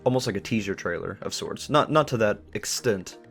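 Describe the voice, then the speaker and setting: Male talk host, lowish pitch, rapid delivery, effusive and conversational. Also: husky, soft background music. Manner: effusive, repeats words for emphasis. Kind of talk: casual enthusiastic discussion.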